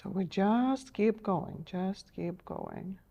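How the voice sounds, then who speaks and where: A middle-aged woman teaching a step-by-step painting lesson in a TV studio, gentle and encouraging, her voice a little strained as she is losing it. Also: mid-range voice, expressive, slow pace, gravelly, dull audio. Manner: gentle, encouraging, checks in with "okay?". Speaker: painting instructor.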